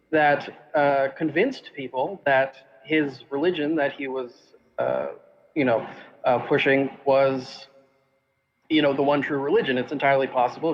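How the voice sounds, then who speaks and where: A man in a debate, talking in a rambling, profane way with many fillers. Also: mid-range voice. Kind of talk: casual debate talk. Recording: echoey dull remote audio.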